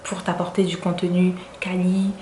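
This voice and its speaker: A woman's voice: on the low side, flat delivery, moderate pace, a little hiss or hum. Echoey-voiced woman vlogger, grateful chat. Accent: French. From Cameroon. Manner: warm, grateful, repeats words for emphasis. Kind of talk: casual vlog chat.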